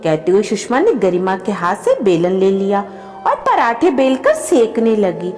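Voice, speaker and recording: A woman's voice: medium pitch, wildly swooping pitch, measured pace, a little mellow, in a large hall, soft background music. A woman narrating a scripted family story as a storyteller.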